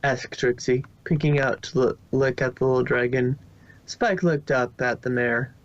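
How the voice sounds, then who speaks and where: A man's voice: mid-range voice, fairly even intonation, normal speed. Man reading a story, dull lo-fi recording. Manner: stumbles over words and repeats phrases. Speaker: fan fiction reader and narrator. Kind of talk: scripted story narration.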